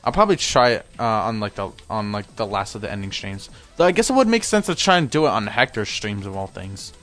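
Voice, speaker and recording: A man's voice: lowish voice, sing-song, a little fast, a bit bright, soft background music. Young guy streaming a game at home, voice kinda tired, rambly and chatty, bouncy intonation. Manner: chatty, rambling, self-correcting. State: voice worn out at end of stream. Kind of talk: casual game commentary. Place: home room.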